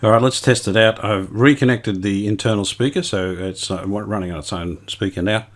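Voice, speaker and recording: A man with a fairly low voice talks through a hands-on repair job. He is casual and unscripted, thinking aloud as he works his way through each step. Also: brisk. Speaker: host of radio repair video.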